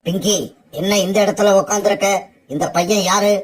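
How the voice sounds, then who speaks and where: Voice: mid-range voice, steady tone, moderate pace, slightly rough, lightly acted. An actor voicing an adult bird parent in a children's cartoon, earnest and stern. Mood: sceptical.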